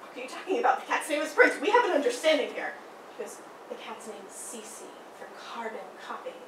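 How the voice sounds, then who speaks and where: A young woman telling a personal story in a casual, animated way, acting out the people she quotes. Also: fairly high pitch, fairly quick. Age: young adult. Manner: animated, acts out quoted voices. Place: small indoor room by a theatre poster. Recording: roomy, distant, noisy.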